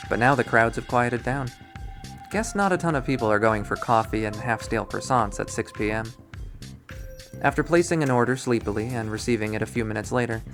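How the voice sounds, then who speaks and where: A man's voice: mid-range voice, a bit bright. A man reading a story aloud as scripted narration, with a dramatic, wry delivery. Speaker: visual novel readthrough player and narrator. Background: background music.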